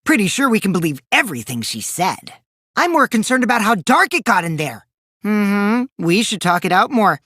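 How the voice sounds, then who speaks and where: A man's voice: sits high, sing-song, normal speed, sharp tone, no echo, clean studio sound, exaggerated voice. An actor playing a mischievous, taunting teenage boy in a video game, theatrical and teasing, with a youthful boyish voice that can turn from cutesy to cold. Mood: playful, calculating. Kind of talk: animated game dialogue in a murder-mystery trial.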